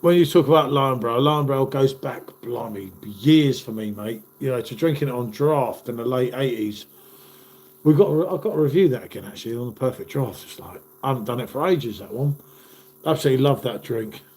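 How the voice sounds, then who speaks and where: A man's voice: medium pitch, a little fast, darkish tone. A man in his fifties, hosting a casual live stream and chatting matily with viewers while stuffed up from a cold. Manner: chatty, matey, calls viewers "mate".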